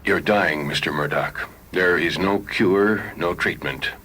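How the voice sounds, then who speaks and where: An actor playing a grave, blunt, matter-of-fact doctor in a science fiction radio drama. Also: male voice, low-pitched, takes it slowly, bright, forceful tone, breathy edge, heavily acted. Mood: grave, clinical.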